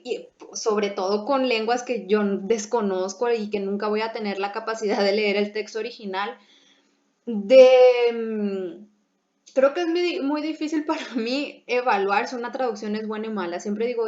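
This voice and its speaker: A young woman with a Mexican accent chatting as host, lively, laughing often and restarting her phrases. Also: on the high side. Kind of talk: casual conversation. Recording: echoey room, dull lo-fi sound.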